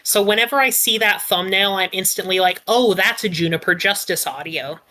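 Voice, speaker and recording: A woman's voice: mid-range voice, fairly quick, bright, forceful tone, poor audio. A woman speaking casually as a podcast panelist, explaining her opinions.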